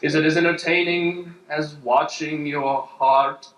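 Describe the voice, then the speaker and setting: A man's voice: a little high, steady tone, unhurried. A man playing a woman's own body as a sarcastic, scolding disembodied voice in an improv comedy scene. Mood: mocking. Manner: stern, mocking, taunting. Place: live improv stage with audience. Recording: echoey stage, distant mic, loud noise.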